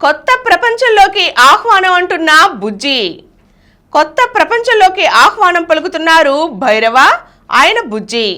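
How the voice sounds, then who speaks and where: A woman reads a scripted news bulletin in a distinctly high voice. Her intonation is lively and moves widely as she delivers headlines and reports. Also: normal speed. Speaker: news reader.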